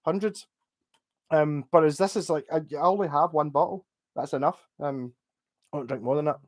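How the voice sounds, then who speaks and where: A man's voice: a little high, moderate pace. Glaswegian male streamer, loose rambling chat, casual swearing, answers viewer comments. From Glasgow, Scotland. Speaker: livestream host.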